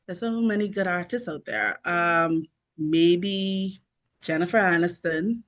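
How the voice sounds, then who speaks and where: A woman's voice: on the low side, slow. A woman from the Bahamas with a Bahamian accent, an author answering questions in casual interview chat.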